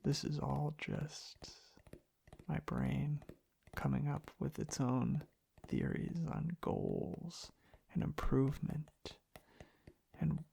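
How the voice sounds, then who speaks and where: Slow, creaky, half-whispering ASMR man. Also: fairly high pitch, fairly even intonation, slight background noise. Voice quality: breathy, half-whispered, gravelly. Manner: hushed, unhurried, halting in short phrases. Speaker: ASMR content creator. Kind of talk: soft-spoken ASMR ramble. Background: tapping and clicking sounds.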